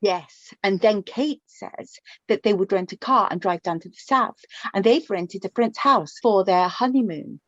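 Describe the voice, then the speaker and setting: A woman playing a middle-aged mother of the bride in a radio play, fond, gently prying and rambling. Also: medium pitch, a little slow, few pauses, lightly acted. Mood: chatty, fond. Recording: echoey room, dull lo-fi sound.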